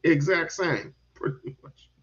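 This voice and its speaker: A man's voice: fairly high pitch, unhurried, dull audio. A man hosting a casual online talk show, talking profanely and laughing often. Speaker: show host.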